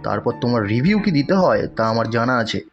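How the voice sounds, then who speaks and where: A man's voice: mid-range voice, lively intonation, fast, dull audio, faint music behind, natural voice. An actor playing a rude, sarcastic, hot-tempered young male customer in a cartoon. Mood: scornful, irritated. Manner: curt, sarcastic, scornful. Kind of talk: animated cartoon dialogue.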